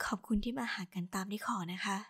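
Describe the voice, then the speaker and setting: A woman voicing a gentle, bashful older schoolgirl in an anime style, soft and breathy. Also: quick pace, lightly acted. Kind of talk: anime-style voice pack acting.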